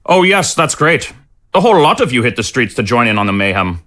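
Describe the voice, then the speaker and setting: A man's voice: mid-range voice, very animated, fairly quick, fairly bright tone. A man playing an exasperated, sarcastic innkeeper who grumbles at his customers.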